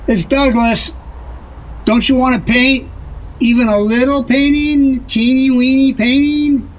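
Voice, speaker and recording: An actor playing a pleading, defensive lover and art patron in an audio drama, coaxing and sometimes sarcastic. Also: male voice, very high-pitched, steady tone, unhurried, rough recording.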